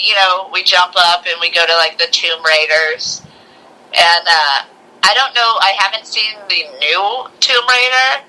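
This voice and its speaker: A woman co-hosting a podcast, chatting informally about movies with halting starts and bits of mimicked dialogue. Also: fairly low pitch, bright, forceful tone, rough recording. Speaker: podcast co-host. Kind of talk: casual movie review chat.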